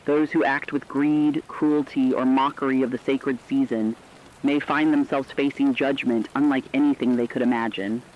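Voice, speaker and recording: A man's voice: medium pitch, steady tone, lightly acted. Man playing an ominous radio co-anchor, muffled sound. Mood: solemn, foreboding. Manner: solemn, foreboding, measured. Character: ominous radio co-anchor warning of an ancient legend. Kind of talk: scripted radio horror news narration. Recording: muffled lo-fi audio, faint noise.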